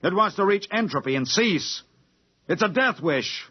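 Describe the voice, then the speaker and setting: Sneering doomed space engineer, american, highish male voice, ranting, muffled 50s radio play. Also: expressive, moderate pace, slightly rough. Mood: feverish, ranting. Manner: sardonic, ranting. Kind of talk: 1950s radio drama acting. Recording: dull lo-fi 1950s radio recording.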